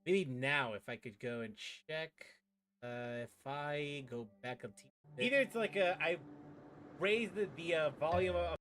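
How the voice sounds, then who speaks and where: A man's voice: on the high side, lively intonation, unhurried, a bit bright. A man giving casual, slangy live commentary as he streams a game, reacting as he goes. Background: game music.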